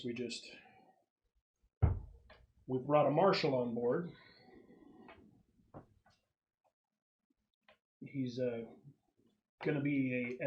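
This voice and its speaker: Authoritative marine superior played by a man, plain-spoken briefing for a tabletop game, medium pitch. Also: a little mellow, natural voice, low-quality recording. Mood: firm briefing. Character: male military superior briefing his squad of marines.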